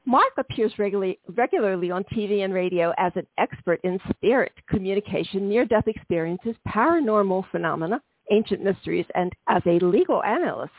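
Female podcast host, slightly gravelly, chatty with ums, dry tinny phone-line sound. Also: mid-range voice, animated, fairly quick, few pauses, dry recording. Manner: warm, chatty, many ums and soft laughs. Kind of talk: hosting a healing-themed interview podcast.

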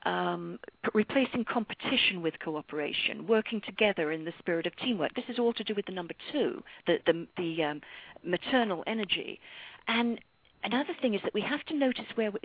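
A middle-aged woman from London with an English accent and a husky voice, explaining spiritual ideas as a guest in a radio interview. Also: medium pitch, lively intonation, fairly quick, no echo, phone-quality sound. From London, England. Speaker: guest numerologist and author.

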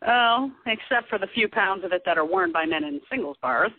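A woman's voice: mid-range voice, expressive, fairly quick. A woman playing a blunt, wisecracking sister in a radio play, sardonic and teasing. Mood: wry, joking. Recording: tinny phone-like lo-fi audio.

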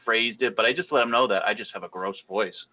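A man's voice: on the low side, a little fast. A man hosting a podcast in casual chat, joking and poking fun at himself. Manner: joking, self-deprecating. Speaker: podcast host. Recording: tinny phone-like audio.

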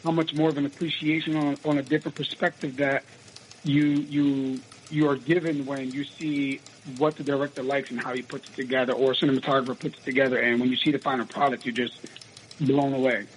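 A raspy-voiced young man from the United States, an actor answering interview questions in a casual, rambling way with many restarts. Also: mid-range voice, level tone, brisk, slight background noise, poor audio. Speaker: actor guest.

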